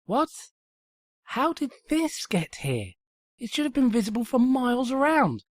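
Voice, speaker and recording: A woman's voice: on the high side, big pitch swings, unhurried, no room sound. A woman voicing a hot-tempered, indignant young female pony in an acted fan fiction reading. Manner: indignant, exasperated, emphatic.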